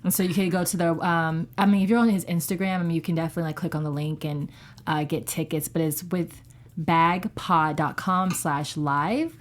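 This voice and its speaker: A woman's voice: on the low side, fairly even intonation, normal speed. A woman panelist casually reporting entertainment news and gossip on a talk show. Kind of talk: casual chat.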